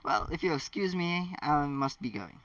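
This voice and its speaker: A man's voice: fairly high pitch, animated, moderate pace, a bit bright, no room sound. A man reading a storybook's new professor, polite, hesitant and puzzled. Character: new male professor, courteous but puzzled. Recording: distant mic, dull lo-fi sound.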